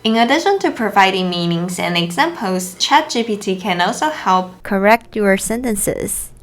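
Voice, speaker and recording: A young woman, a native Chinese speaker, hosting a language lesson video and explaining clearly in an upbeat, easily impressed way. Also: medium pitch, lively intonation, normal speed. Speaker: language teacher and channel host.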